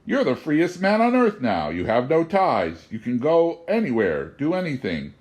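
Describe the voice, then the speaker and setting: A mysterious little stranger, calm and wry, speaking in slow, measured phrases, voiced by a male storyteller. His tone rises and falls with lively, knowing emphasis. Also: fairly high pitch, pitched above the performer's own voice.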